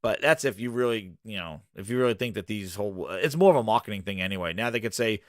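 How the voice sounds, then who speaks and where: Hoarse man hosting a gaming stream. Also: on the low side, fast, no room sound, studio quality. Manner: animated ranting, loose and rambling. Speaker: gaming podcast host. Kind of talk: casual livestream chat.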